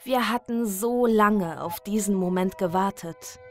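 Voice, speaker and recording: Slow, measured woman narrator. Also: mid-range voice, a little mellow. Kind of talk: scripted horror story narration. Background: music.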